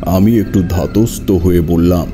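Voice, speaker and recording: A man's voice: low-pitched, unhurried, over music. A man narrating a horror story dramatically in the first person.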